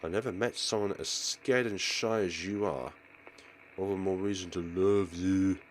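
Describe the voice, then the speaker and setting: A man's voice: low-pitched, steady tone, a little slow, slight background noise, low-quality recording. A man voicing a creepy, possessive and impatient male teacher in a visual novel. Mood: creepy affection.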